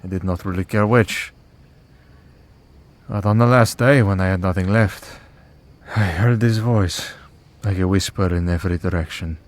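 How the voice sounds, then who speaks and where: Man voicing male vampire priest, low voice, weary, devout, gentle, measured solemn delivery, ASMR roleplay drama. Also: close to an everyday voice. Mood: solemn, reflective.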